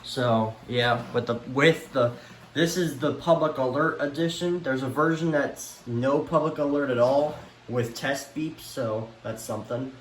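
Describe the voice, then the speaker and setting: A man's voice: mid-range voice, a little slow. Male vlogger, casual and unscripted, mildly exasperated rambler. Roomy echo, slight background noise. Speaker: vlogger showing a weather radio collection. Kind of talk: casual unscripted show-and-tell. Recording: echoey room with faint background noise.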